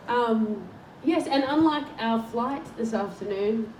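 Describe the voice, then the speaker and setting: A woman's voice: fairly high pitch, darkish tone. Teasing, laughing woman in her thirties. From Tasmania, Australia. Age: 30s. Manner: bubbly, teasing, laughs often. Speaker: host, songwriter. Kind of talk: playful live interview hosting. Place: community venue before an audience. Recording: roomy, distant live hall mic with faint noise.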